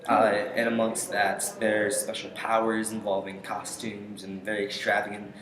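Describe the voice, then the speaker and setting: A young man giving casual interview answers in a hesitant, halting way, pausing often. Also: fairly low pitch, monotone, crowd noise. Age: young adult. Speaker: interview guest, aspiring voice actor. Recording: echoey room with faint noise.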